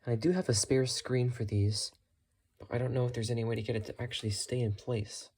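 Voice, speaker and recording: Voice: mid-range voice, steady tone, a little fast, darkish tone, husky. A hobbyist vlogger giving a casual, unscripted show-and-tell in a matter-of-fact way, musing tentatively while talking. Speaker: hobbyist vlogger showing thrift-store radio finds.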